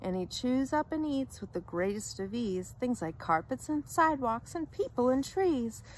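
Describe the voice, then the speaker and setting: A woman's voice: a little high, big pitch swings, moderate pace, slight background noise, poor audio. A woman reading a rhyming children's story aloud with theatrical, dramatic emphasis.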